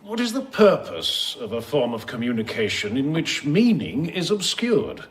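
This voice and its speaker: An actor playing an officious, pompous and censorious neighbour in a radio drama, speaking with pedantic indignation. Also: male voice, medium pitch, wildly swooping pitch, moderate pace, a little hiss or hum. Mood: pedantic challenge.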